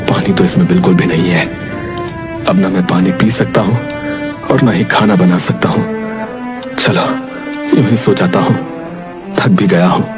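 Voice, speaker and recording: A man's voice: low-pitched, lively intonation, normal speed, long pauses. A man voicing a poor, devoted and determined village husband in a cartoon story, with an earnest, melodramatic delivery over music. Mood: weary, resigned. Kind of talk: acted dialogue in a Hindi cartoon moral story. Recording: music behind the voice.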